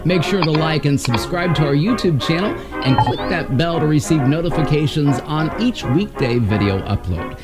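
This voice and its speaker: A woman's voice: deep voice, lively intonation, moderate pace, few pauses. A woman hosting and narrating a scripted introduction to a classic program. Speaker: show host. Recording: music bed under the voice. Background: music.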